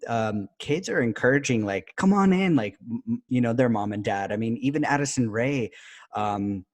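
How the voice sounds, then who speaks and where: A man's voice: medium pitch, animated, normal speed. A middle-aged man hosting a casual video interview, enthusiastic and chatty, with a lot of "like" and "you know". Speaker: podcast host.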